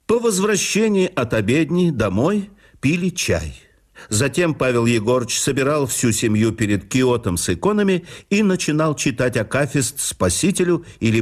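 A man reading a memoir aloud as a scripted radio narration, with a measured storytelling delivery. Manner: measured, storytelling delivery with dips and lifts in pitch.

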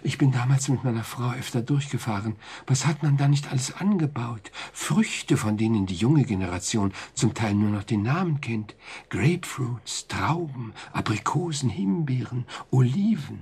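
Radio play psychiatrist, really husky, voice moves up and down a lot, clinical but reassuring, bit hesitant. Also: male voice, mid-range voice, expressive, moderate pace, darkish tone. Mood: pensive, puzzled. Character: conscientious male psychiatrist, probing, reassuring, easily unsettled. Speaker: doctor. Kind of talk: German radio drama acting.

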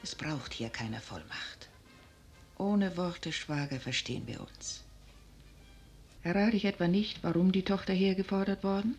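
An actress playing a shrewd, teasing, controlling noblewoman with a husky, breathy voice in a 1950s radio drama. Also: lowish voice, measured pace, a little mellow, faint music behind. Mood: sly resolve. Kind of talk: 1950s German radio play acting.

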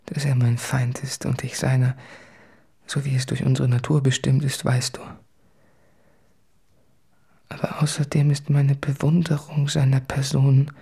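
Tormented writer, radio play monologue, gravelly fry, brooding, intimate, hushed confessions. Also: male voice, mid-range voice, level tone, unhurried, long pauses, no echo, clean studio sound, heavily acted. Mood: hushed admiration. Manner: brooding, intimate, often sinking into whispers. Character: anguished, self-tormenting male writer confessing in letters. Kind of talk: literary radio drama monologue.

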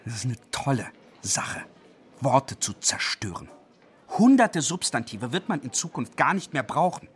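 A pedantic, wildly animated zealot. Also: male voice, medium pitch, measured pace, husky, full character voice. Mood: pedantic passion. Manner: pedantic, gloatingly enthusiastic. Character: zealous, pedantic dictionary compiler relishing destruction, male. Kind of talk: radio play dialogue acting. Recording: faint background noise.

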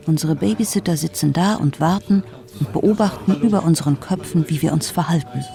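A man's voice: on the high side, fairly quick, creaky, crowd noise. A man speaking with passion and posing rhetorical questions.

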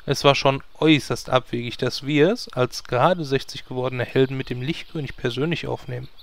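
An actor playing a sardonic hero of sixty, dry and long-winded, in a comedy fantasy audio play. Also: male voice, mid-range voice, a little fast, vocal fry, lightly acted. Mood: dryly indignant. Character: sardonic sixty-year-old male hero.

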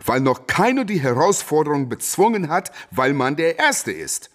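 A man's voice: on the high side, sing-song, moderate pace, a bit bright. A man in a German radio play acting a proud, defiant boxing champion who declaims and argues back with rhetorical questions.

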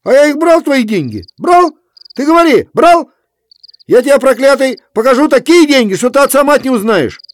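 A man voicing a drunk, quarrelsome peasant with a high, screeching voice who yells and boasts defensively. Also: sing-song, very slow, hardly any pauses, dry recording, exaggerated voice. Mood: screaming accusations.

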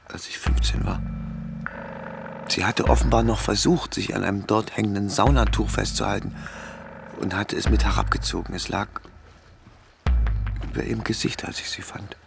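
A gravelly, melancholy lonely man. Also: sits low. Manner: hesitant, halting, yielding. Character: lonely, melancholy man haunted by his mother's death. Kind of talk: German radio drama acting.

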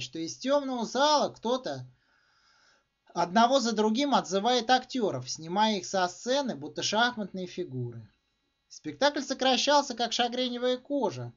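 Animated high-voiced man reading a story. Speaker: author reading own short story. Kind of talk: expressive literary narration. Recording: dull, muffled lo-fi sound.

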